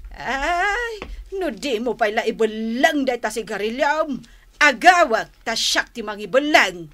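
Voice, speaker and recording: A woman's voice: on the high side, sing-song, unhurried, a bit bright. A woman with an Ilocano accent playing a bossy, scolding older mother and wife in a radio drama. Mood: scolding, angry. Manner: scolding, fussing.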